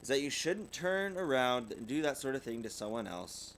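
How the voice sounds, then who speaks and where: A man voicing a kindly father bear in a storybook, giving calm, instructive advice. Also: mid-range voice, slight background noise. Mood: kindly advising. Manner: measured, instructive.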